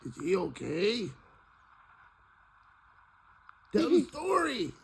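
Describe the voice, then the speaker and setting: A woman voicing a bossy, skeptical female puppet with a husky voice and a blunt, folksy way of scolding in an improvised show. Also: mid-range voice, huge pitch swings, a little slow, exaggerated voice.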